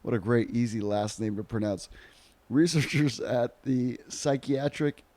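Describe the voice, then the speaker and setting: A man's voice: medium pitch, a little slow, dark tone, a little hiss or hum. A man co-hosting a podcast in casual chat, with a joking, bantering manner. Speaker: podcast co-host.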